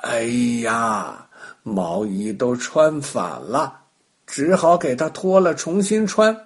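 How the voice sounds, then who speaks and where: A man voicing a fussing young mother in a children's story, gently chiding and hurrying a child. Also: mid-range voice, lively intonation, takes it slowly, husky. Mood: exasperated.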